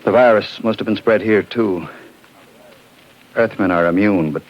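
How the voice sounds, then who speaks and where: Actor as a low-voiced, desperate radio drama scientist. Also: male voice, moderate pace, lightly acted. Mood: weary, bitter. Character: desperate, bitter male scientist pleading to save the galaxy. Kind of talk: 1950s radio drama acting. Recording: muffled, noisy old radio recording.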